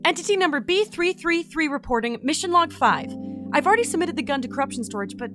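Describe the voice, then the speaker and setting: A woman's voice: high-pitched, lively intonation, fast, music in the background. A woman playing a brash, inquisitive young field agent in an audio drama, talking informally and with animation. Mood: matter-of-fact reporting.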